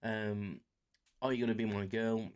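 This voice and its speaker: A man's voice: lowish voice, little pitch movement, measured pace, darkish tone. A man around forty, chatting casually on his solo podcast, rambling and self-deprecating with many fillers. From England. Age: about 40. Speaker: podcast host.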